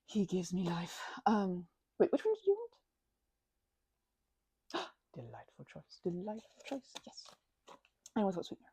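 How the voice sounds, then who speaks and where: A woman's voice: on the low side, wildly swooping pitch, measured pace, pauses often, warm tone, breathy edge, dry recording. A woman performing a casual best-friend ASMR roleplay, chatting in a playful, scatterbrained way and rambling as she goes.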